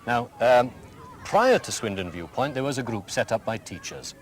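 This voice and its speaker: Lively Welsh headmaster explaining patiently. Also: male voice, mid-range voice, a little hiss or hum. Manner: measured, explanatory, full of examples. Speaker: school headmaster. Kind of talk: archive community TV interview answers.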